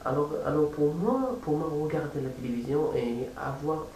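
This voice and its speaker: A man with a non-native accent talks casually and unscripted as a language-learning vlogger, haltingly repeating words as he searches for phrasing. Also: fairly high pitch, animated, dark tone. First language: not French or English. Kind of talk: casual unscripted vlog talk. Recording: echoey room, distant mic, faint hiss.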